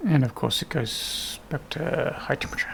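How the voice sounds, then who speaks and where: Gravelly man thinking aloud over a game. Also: medium pitch, moderate pace, fairly bright tone, slight background noise. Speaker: gaming video host. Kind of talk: casual gameplay commentary.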